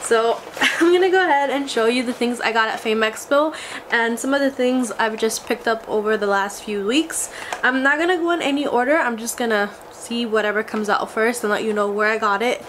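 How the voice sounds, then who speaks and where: A woman's voice: on the high side, a little fast, a bit bright. A young woman in her twenties, a beauty vlogger, chatting casually and gushing over a haul, her voice a little scratchy from a cold. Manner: gushing, enthusiastic, exclamatory. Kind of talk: casual makeup haul vlog. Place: small indoor room before a glitter backdrop.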